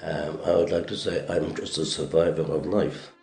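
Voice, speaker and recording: A man's voice: a bass voice, fairly even intonation, moderate pace, gravelly, darkish tone, reverberant, soft background music. An older man from Slovakia, a Holocaust survivor, telling his story in a wry, plainspoken documentary testimony.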